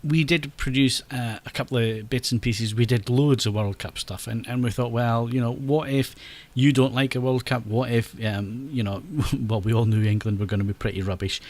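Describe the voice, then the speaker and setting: Man, Scottish accent, podcast host, casual chat, middle pitch, laughs a lot. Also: fairly even intonation, moderate pace, few pauses, darkish tone. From Scotland. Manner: chatty, laughs often, stammers on restarts.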